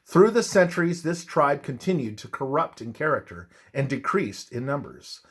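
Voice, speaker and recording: A man reading a scripted religious text aloud in a solemn, measured, preacherly way, as in devotional narration. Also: a little high, animated, slightly rough.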